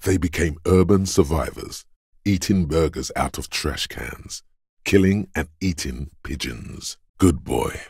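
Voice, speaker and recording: An actor playing a grave, stern inner voice of endurance in a game, narrating bluntly and deliberately in a husky, gravelly tone. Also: male voice, rumbling low, darkish tone, no room sound, studio quality, exaggerated voice. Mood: hard-bitten. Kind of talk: scripted second-person game narration.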